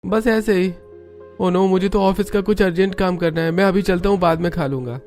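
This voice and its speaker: An everyday family man from a cartoon , acted by a man. He speaks casually in a somewhat high male voice. Faint music sits underneath. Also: fairly even intonation, brisk, darkish tone, close to an everyday voice. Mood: reluctant, evasive. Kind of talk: Hindi family cartoon dialogue.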